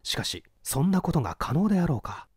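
A man reading literary fiction aloud as a scripted narration, with measured, deliberate phrasing. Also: on the high side, big pitch swings, slightly rough, no room sound, clean studio sound. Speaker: audiobook reader, narrator of classic mystery fiction.

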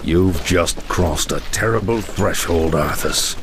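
An actor playing a stern, seasoned older paladin mentor with a commanding, admonishing manner in a video game. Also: male voice, low-pitched, takes it slowly, noisy background. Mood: indignant. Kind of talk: video game cutscene acting.

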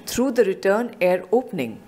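A woman narrating a scripted technical explanation in a measured, explanatory voice-over. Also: medium pitch, sing-song, warm tone, slightly rough.

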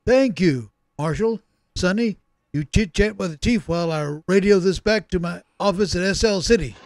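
An actor playing a hapless, excitable government agent in a comic space-adventure radio play. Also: male voice, high voice, normal speed. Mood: agreeable.

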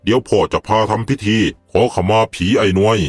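A man voicing a firm, deliberate older village father who calmly leads spirit rituals in an animated ghost story. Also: lowish voice, dry recording, voice pushed down, natural voice. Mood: firm, warning.

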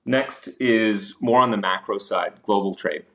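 Man, deep voice, informal explanatory commentary, hesitant asides, investing host. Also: measured pace, fairly bright tone. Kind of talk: informal slide presentation commentary.

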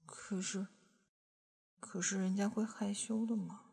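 一位女演员在广播喜剧中饰演爱撒娇、害羞黏人的阴柔少年。. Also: female voice, medium pitch, steady tone, unhurried, creaky, a little mellow, husky. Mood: bashful flirting.

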